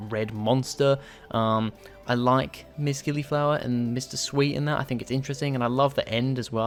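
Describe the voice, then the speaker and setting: A young man from West Yorkshire casually reviewing and ranking episodes, with frequent ums and restarts. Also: medium pitch. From West Yorkshire, England. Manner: chatty, lots of ums and restarts. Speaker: video host ranking episodes.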